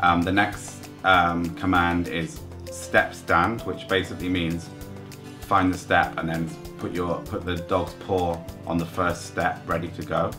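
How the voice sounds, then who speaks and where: A man's voice: low voice, little pitch movement, a little slow, over music. A man in his thirties plainly explaining guide dog training, interviewed indoors by a window. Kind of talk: interview talk.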